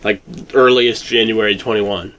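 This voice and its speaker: A man's voice: lowish voice, steady tone, measured pace, fairly bright tone, poor audio. A man co-hosting a casual chat, speaking in an informal, blunt, conversational way. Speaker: co-host of a video game theory discussion.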